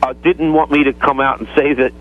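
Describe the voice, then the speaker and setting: A man is heard through a narrow, tinny phone connection as a radio caller. His casual talk is halting and earnest, and he sidesteps as he explains himself. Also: fairly high pitch, steady tone.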